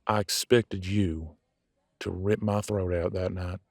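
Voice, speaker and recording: A man playing a cocky, casual werewolf veterinarian in an audio drama, gruff but soft-hearted, with a streetwise way of talking. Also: sits low, fairly even intonation, takes it slowly, no room sound, clean studio sound, heavily acted. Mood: earnest, candid. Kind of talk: audio roleplay drama dialogue.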